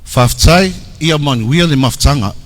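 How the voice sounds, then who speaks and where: Slightly high-voiced man presenting. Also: lively intonation, normal speed, background noise. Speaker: youth group presenter. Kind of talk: presentation and answering questions. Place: church hall.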